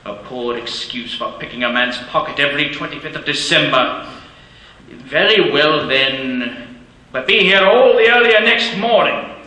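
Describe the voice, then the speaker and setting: An actor playing a miserly, grumbling old moneylender in an old-time radio play, with a gruff, scolding way of talking. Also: male voice, a little high, animated, unhurried, pushed and bright. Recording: echoey hall with faint hiss.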